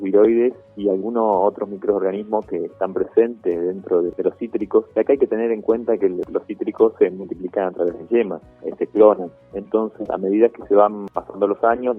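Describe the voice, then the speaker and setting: A man's voice: fairly low pitch, husky, dry recording. An Argentine man, a researcher being interviewed, giving a technical explanation. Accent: Argentine Spanish. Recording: tinny dry phone-like line, faint music.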